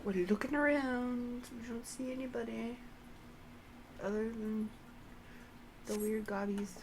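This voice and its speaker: A woman streaming a video game, reacting casually with amused, drawn-out remarks over background noise. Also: fairly high pitch, steady tone, a little mellow, rough recording. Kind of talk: live gameplay reading and casual commentary.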